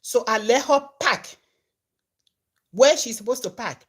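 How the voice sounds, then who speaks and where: Animated Liberian woman telling a story. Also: a little high, unhurried, husky. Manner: animated, swinging from loud to hushed. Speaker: comedian and content creator. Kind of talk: casual storytelling to followers.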